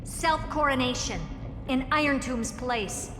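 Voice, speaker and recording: Haughty, fairly high-voiced genius. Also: female voice, unhurried. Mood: haughty, commanding. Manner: self-assured, commanding, curt. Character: haughty, brisk young genius woman, boldly confident. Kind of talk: video game voice acting. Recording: echoey hall reverb over music.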